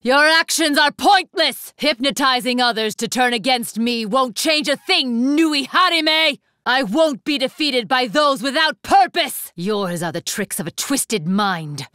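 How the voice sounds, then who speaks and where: A proud young female warrior from an anime fighting game. Her voice is on the high side and swings widely in pitch. She speaks formally and imperiously, with ruthless disdain, in a very dry, close recording. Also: lively intonation, a little slow, hardly any pauses, sharp tone, dry recording. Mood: stern warning. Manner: commanding, haughty, formal theatrical delivery.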